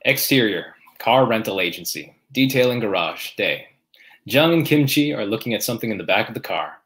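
Guy reading stage directions, plain even tone. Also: on the low side, brisk. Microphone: video call.

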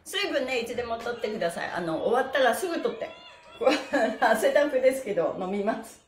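A middle-aged woman leading a live exercise class in a cheerful, animated way, calling out cues and chants. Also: on the high side, fairly quick, slight background noise. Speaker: stretching instructor and pantomime performer. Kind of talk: live-streamed exercise lesson with chanted counts. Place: small studio room with bare walls and wooden floor. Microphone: device camera a few steps away. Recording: roomy echo, picked up from across room.

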